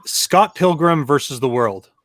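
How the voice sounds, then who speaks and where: A man co-hosting a gaming podcast, relaxed and thinking aloud as he talks. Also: fairly high pitch, unhurried, no echo, studio quality. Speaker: co-host. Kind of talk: casual chat.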